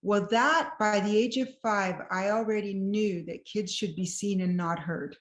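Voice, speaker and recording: A woman from Belgium gives her testimony in an earnest, reflective way, slowing down on key words. Her pitch is middling. The echoey room rings around her voice. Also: steady tone, lo-fi sound. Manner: earnest, reflective, varied pace. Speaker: guest, marriage counselor. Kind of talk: personal testimony interview.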